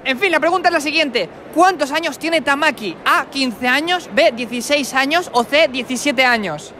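Guy in his 20s, bright sharp voice, cheeky street quiz host at a convention, handheld mic. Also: very high voice, animated, normal speed, slight background noise. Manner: brash, mocking, playful insults. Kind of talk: teasing street quiz interview. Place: indoor convention hall. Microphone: handheld mic with furry windscreen.

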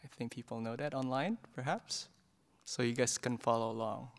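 Soft-spoken monk with a gravelly voice. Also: male voice, mid-range voice, moderate pace. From Indonesia. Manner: hesitant, many fillers and restarts, gentle and soft-spoken. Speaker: Buddhist monk leading a book club talk. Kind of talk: informal book discussion talk. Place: meditation hall in a Buddhist centre. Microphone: stand microphone in front of his chair.

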